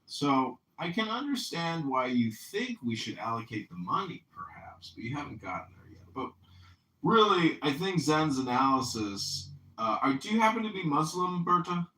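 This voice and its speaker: A man's voice: a little high, big pitch swings, moderate pace, sharp tone, a little hiss or hum. A man hosting a call-in podcast, talking in a sarcastic, lecturing way and drawing out his questions. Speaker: podcast host. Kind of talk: mocking call-in chat. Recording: roomy, distant mic.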